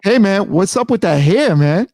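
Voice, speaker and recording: A man's voice: sits high, lively intonation, moderate pace, darkish tone, no echo, studio quality. A man hosting a casual chat show, upbeat as he steers the segments. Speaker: host.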